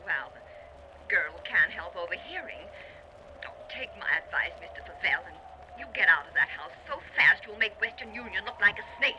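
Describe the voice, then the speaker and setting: An actress playing a nosy, wisecracking young telephone operator in a 1940s radio drama. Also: female voice, mid-range voice, animated, normal speed, natural voice. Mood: wry advice. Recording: muffled noisy old radio recording.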